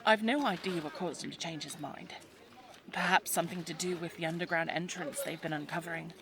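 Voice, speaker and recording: A woman's voice: on the low side, brisk, a crowd in the background, close to an everyday voice. A woman playing a spirited, teasing young English lady of the 1920s in an audio drama, chatty and well-spoken with an English accent. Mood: fond, thoughtful. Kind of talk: audio roleplay drama, addressed to the listener.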